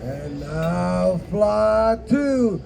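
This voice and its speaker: A man playing a huge, boastful, dim-witted grizzly bear who roars slowly in a storytelling puppet show. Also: expressive, gravelly, crowd noise, heavily acted. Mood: dogged. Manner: slow, roaring, boastful. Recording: noisy outdoor live recording, traffic rumble.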